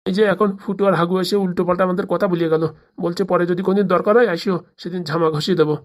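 A woman acts out a hapless older village man in a cartoon comedy, a henpecked husband. The speech is extremely quick, pleading and complaining. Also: on the low side, fairly even intonation, lightly acted. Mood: exasperated. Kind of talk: comic cartoon dialogue.

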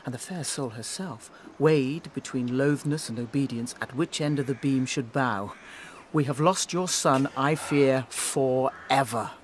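A man's voice: mid-range voice, measured pace, a little hiss or hum, natural voice. A man playing a cynical nobleman in a Shakespearean audio play, with a dry, sardonic delivery. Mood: reproachful.

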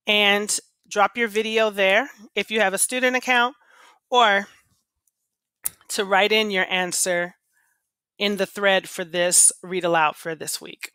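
A woman teacher reading aloud to students with an expressive, deliberate storytelling delivery. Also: mid-range voice, dry recording. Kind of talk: expressive read-aloud of children's poems and class instructions.

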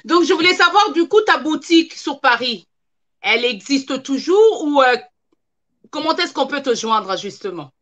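High, bright-voiced woman hosting a live chat. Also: very animated, normal speed. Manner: chatty, encouraging, frequent filler questions. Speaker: host. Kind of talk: live online interview. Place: room at home, indoors.

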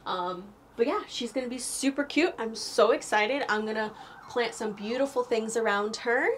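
A woman's voice: on the high side, normal speed, a little hiss or hum. A young woman from Canada giving a chatty, upbeat walking tour of her snowy backyard garden, talking into a lapel mic outdoors and laughing often. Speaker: garden vlogger.

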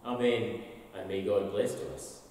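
An older man, a minister, gives an expressive, slowly told all-age talk from the pulpit of a large church sanctuary. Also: on the low side, a little hiss or hum. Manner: storytelling, slow and expressive. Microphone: far camera, pulpit mic. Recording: echoey church hall from a distance.

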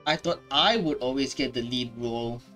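A man casually commenting while playing a story game, relaxed and chatty. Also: medium pitch, moderate pace, over music. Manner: relaxed, chatty, with small restarts. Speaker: gaming reaction host. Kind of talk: casual commentary over gameplay.

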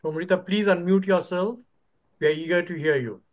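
High-pitched older man. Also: brisk. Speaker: co-host moderating online session. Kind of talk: casual call coordination. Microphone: video call.

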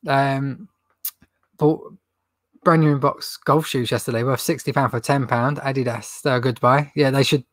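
A man's voice: mid-range voice, fairly even intonation, normal speed, fairly bright tone, no echo. Chatty young man with a runny nose. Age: young adult. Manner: chatty, rambling, laughs at own jokes. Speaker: reseller livestream host. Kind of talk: casual livestream Q&A. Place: indoor stock room with shelves.

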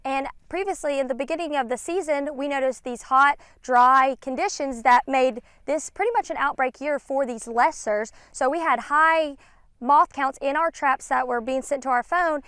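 A young woman gives an explanation as a guest field specialist in an outdoor interview. Her voice is high and picked up dry and close by a clip-on mic. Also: moderate pace, a bit bright, dry recording. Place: outdoors in an open peanut field. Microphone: clip-on lavalier.

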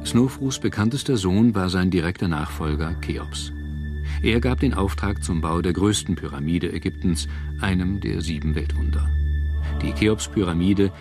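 A man reading scripted documentary narration in a measured, formal manner. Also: deep voice. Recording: music bed under narration. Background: background music.

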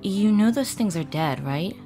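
A woman plays a regretful young female gem in a dramatic cartoon dub. The voice sits at a medium pitch, guarded and wistful, over background music. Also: animated, warm tone. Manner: subdued, wistful, halting.

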